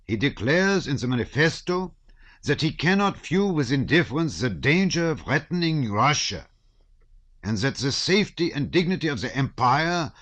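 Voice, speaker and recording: A man's voice: fairly high pitch, sing-song, normal speed, pushed and bright, no echo, voice pushed up. A man reading an audiobook voices a stout, pompous old colonel who declaims with heavy emphasis on his words.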